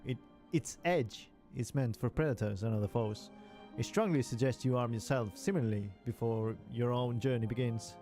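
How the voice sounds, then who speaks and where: A man's voice: mid-range voice, normal speed, hardly any pauses, dark tone, lightly acted. A man reading the lines of a stern female warrior bug in a game, in a plain reading voice with faint game music behind. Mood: calm. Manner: plain reading aloud, stumbling slightly.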